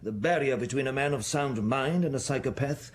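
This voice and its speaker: An actor playing a genial, cautious family doctor in an audio drama, measured and friendly. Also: male voice, medium pitch, slight background noise, lightly acted. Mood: considered.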